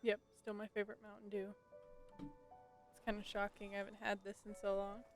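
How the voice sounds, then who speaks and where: A woman's voice: medium pitch, completely flat delivery, moderate pace, soft background music. A woman streaming a game live, chatting casually and playfully reacting aloud.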